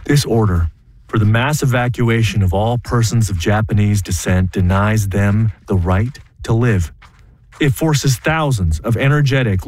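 A man playing a principled young Japanese American student, narrating his own story in earnest, measured theatrical first person. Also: lowish voice, a little mellow, no room sound. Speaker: narrator and lead in a one-man play.

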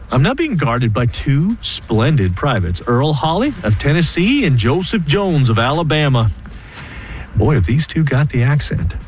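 A man playing a principled young Japanese American student, narrating his own story in earnest, measured theatrical first person. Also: medium pitch, a little hiss or hum, close to an everyday voice. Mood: wry. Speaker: narrator and lead in a one-man play.

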